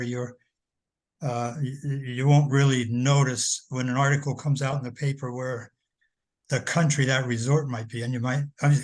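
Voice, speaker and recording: A man's voice: mid-range voice, darkish tone. A man speaking casually on a video call, rambling with many restarts and fillers. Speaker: guest speaker. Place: home.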